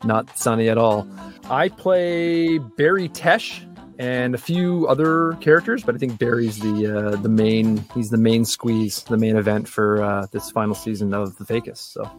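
A man's voice: on the low side, a little slow, faint music behind. A man and cast member introducing himself in a casual, wry way. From Canada. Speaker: voice actor in the cast.

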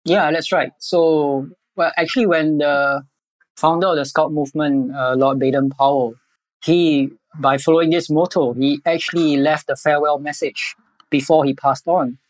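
Measured Singaporean man, dry close sound. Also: on the high side, fairly even intonation, no echo, low-quality recording. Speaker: podcast guest, environmental educator. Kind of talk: conversational interview answers.

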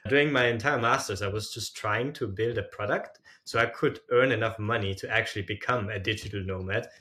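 A man's voice: fairly low pitch, a little fast, sharp tone. A man, telling his story casually as a podcast guest, with informal, loosely strung phrasing. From Austria. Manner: informal, frequent "like" and "sorta".

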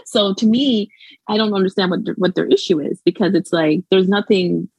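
A woman's voice: mid-range voice, normal speed, a little mellow, husky, dry recording. A Canadian woman with a light Jamaican lilt, speaking as a guest scholar in a casual interview, rambling with many restarts and fillers and laughing often.

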